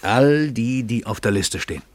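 An actor playing a world-famous, self-assured writer in a radio play, urbane and ironic, with condescending amusement. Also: male voice, medium pitch, animated, normal speed, no echo, natural voice. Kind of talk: German radio play acting.

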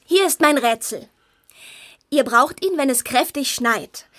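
A woman's voice: high voice, expressive, a little slow, a bit bright. An actress playing a plucky, clever, eager little girl in a children's fairy-tale audio drama, with a keen, girlish manner. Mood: riddling.

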